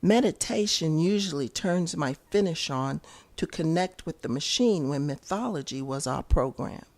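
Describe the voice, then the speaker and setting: Woman playing a low-voiced, reflective, devoted wife. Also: lively intonation, darkish tone. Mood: hopeful, pensive. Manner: reflective, measured. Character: Goose, supportive, hopeful, devout wife musing to herself. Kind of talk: audio drama inner monologue.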